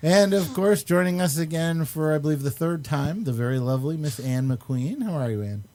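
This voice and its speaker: A man's voice: fairly high pitch, lively intonation, moderate pace, mellow. A man hosting a live online show, chatting casually, laughing often and using many fillers, over a computer mic on a video call. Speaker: host.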